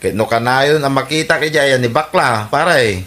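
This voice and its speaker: Radio comedy: meddling Ilocano male friend male actor, tense and bright, stirring suspicion. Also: mid-range voice, normal speed, close to an everyday voice. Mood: gossiping. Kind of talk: radio comedy drama acting.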